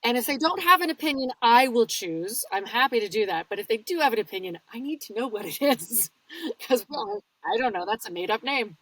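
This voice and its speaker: A woman's voice: a little high, expressive, fairly quick, fairly bright tone, dry recording, recorded from a distance, low-quality recording. A woman audiobook narrator, talking casually and explaining her work in an interview, laughing easily. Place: home studio.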